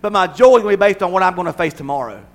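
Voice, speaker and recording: A man's voice: high voice, animated, racing. An older man preaching a conversational sermon as pastor, mixing humor with emphatic, building repetition. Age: 60s.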